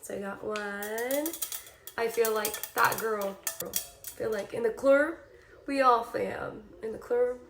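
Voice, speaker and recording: A young woman who is a news reporter, chatting casually in a vlog, a bit congested and raspy from a cold, with many filler words. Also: on the high side, fairly even intonation, slow pace, a bit bright, a little hiss or hum. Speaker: TV news reporter vlogging her day. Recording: echoey room.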